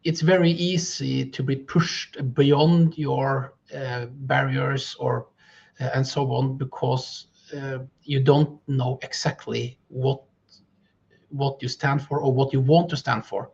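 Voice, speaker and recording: Husky man with a Norwegian first language. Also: mid-range voice, little pitch movement, slow, a little mellow, lo-fi sound. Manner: thoughtful, hesitant with fillers. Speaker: guest speaker. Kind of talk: interview conversation.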